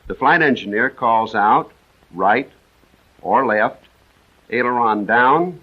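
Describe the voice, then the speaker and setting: A man's voice: medium pitch, lively intonation, breathy edge. Dull vintage recording of an American male narrator. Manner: measured, precise, instructional delivery. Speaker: instructional film narrator. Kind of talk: scripted 1940s military training film narration. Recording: dull vintage 1940s film soundtrack.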